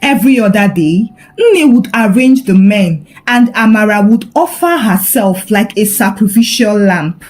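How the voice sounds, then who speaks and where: A woman narrating a scripted folktale in a dramatic, expressive storytelling manner. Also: mid-range voice, unhurried, few pauses.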